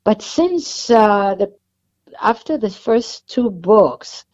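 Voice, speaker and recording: A woman's voice: mid-range voice, animated, slow pace, darkish tone. Israeli-accented woman author, lo-fi line. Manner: chatty, explains at length with restarts. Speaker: author guest.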